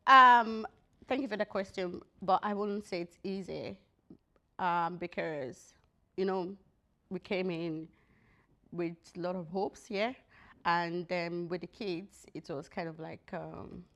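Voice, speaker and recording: A woman from Nigeria, a podcast guest speaking in a measured way with frequent pauses and fillers. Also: fairly low pitch, fairly even intonation, bright, forceful tone. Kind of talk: casual panel discussion.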